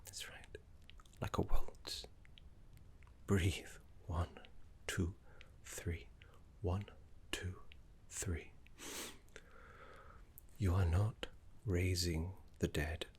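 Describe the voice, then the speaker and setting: A man voicing a gentle, scholarly necromancy teacher in an ASMR roleplay, soft, breathy and half-whispered, with soothing, genteel phrasing. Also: deep voice, steady tone, extremely slow. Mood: reverent, hushed. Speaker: teacher guiding a student.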